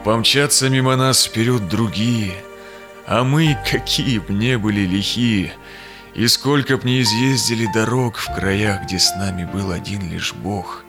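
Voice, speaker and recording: A man acting a seasoned, honorable Cossack explorer in a verse audio drama, with solemn, declamatory, measured delivery. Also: lowish voice, over music. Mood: philosophical, resolute. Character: seasoned Cossack ataman explorer, honorable, sorrowful, longing for home.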